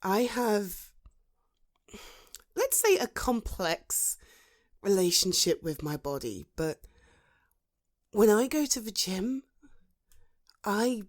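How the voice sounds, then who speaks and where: A woman plays a supportive British female friend in an intimate ASMR audio roleplay. Her voice sits at a medium pitch. She talks soothingly and encouragingly, protective of the listener, leaving thoughtful pauses as in a real one-to-one chat. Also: big pitch swings. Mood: earnest, encouraging. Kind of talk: ASMR roleplay, conversational one-sided dialogue.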